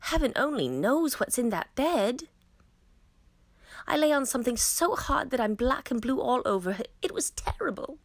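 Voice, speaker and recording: A woman playing a high, plaintive young storybook princess. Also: sing-song, moderate pace, no room sound, full character voice. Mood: distressed, plaintive. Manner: exclaiming, plaintive, stammering. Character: dramatic, delicate young princess complaining of bad sleep. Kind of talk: storybook read-aloud character voice.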